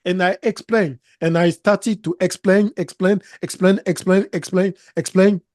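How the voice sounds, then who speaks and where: A man in his thirties speaking English with a French accent, his first language being French. He talks slowly and haltingly as an interview guest, repeating words as he searches for phrasing. The sound is dry and close, seated in a studio. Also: high voice, steady tone, a little mellow, no echo. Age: 30s. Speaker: business coach guest. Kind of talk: interview conversation. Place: studio set, seated in armchair.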